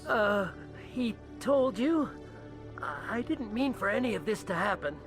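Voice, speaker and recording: Jittery, high-voiced alien scientist, swooping pitch. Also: male voice, sing-song, measured pace, music in the background. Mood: flustered, sheepish. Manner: nervous, hesitant, complaining. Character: anxious, paranoid male alien scientist, shifty and pleading. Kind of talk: video game voice acting.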